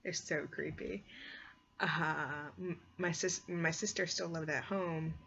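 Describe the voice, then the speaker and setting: A woman's voice: low voice, takes it slowly. A woman chatting casually and expressively like a video blogger, rambling along with "like" sprinkled through her sentences. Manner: expressive, squeamish, exclaims in disgust; heavy use of "like" as a filler. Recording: dull lo-fi sound with clear background noise.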